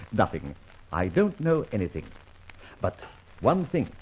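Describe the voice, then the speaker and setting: An actor playing a dashing, breezy gentleman adventurer in an old-time radio detective drama. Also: fairly low pitch, wildly swooping pitch, takes it slowly, slight background noise, exaggerated voice. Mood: brisk, confiding. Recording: dull, lo-fi old radio recording, faint music.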